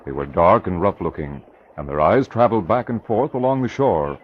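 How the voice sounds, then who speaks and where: A man's voice: low-pitched, animated, moderate pace. A man narrating an old-time radio crime drama in scripted storytelling style. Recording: muffled, noisy old radio recording.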